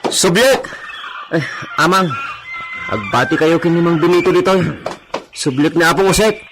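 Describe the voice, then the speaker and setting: A man with an Ilocano accent playing a frantic, excitable younger man urging on companions in a radio drama. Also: on the high side, moderate pace, lightly acted, poor audio. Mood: urgent, alarmed. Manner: urgent, exclaiming. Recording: loud music behind the voice.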